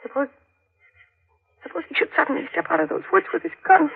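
A woman's voice: sits high, fast, gravelly. A woman playing a frightened, exhausted young bride in a 1940s radio thriller, faltering and pleading. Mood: shaken, fearful. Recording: tinny old radio with music.

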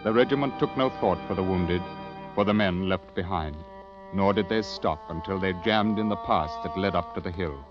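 An actor as the grave storyteller of a war adventure, narrating in a dramatic old radio style. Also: male voice, low voice, moderate pace, natural voice. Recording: dull vintage radio recording, music behind. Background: dramatic music.

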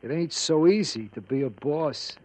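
A man's voice: a little high, expressive, moderate pace, close to an everyday voice. An actor playing a slangy, sarcastic American gangster henchman in an old-time radio crime drama. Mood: sardonic. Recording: dull, noisy vintage radio recording.

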